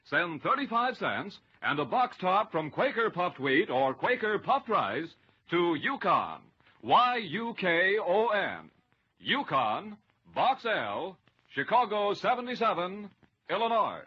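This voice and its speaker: An eager radio pitchman sells mail-order goods in a high, raspy voice. He is urgent and emphatic, on a dull vintage broadcast recording. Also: very animated, takes it slowly, heavily acted. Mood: urgent, selling. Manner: urgent, emphatic, spells words out. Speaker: radio commercial announcer.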